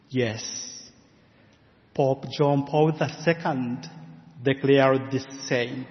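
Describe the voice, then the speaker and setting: A middle-aged man from Japan with a Japanese accent, preaching a sermon as a guest in a church, measured and careful, with gentle laughs. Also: medium pitch. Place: church sanctuary. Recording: muffled sound with long church reverb.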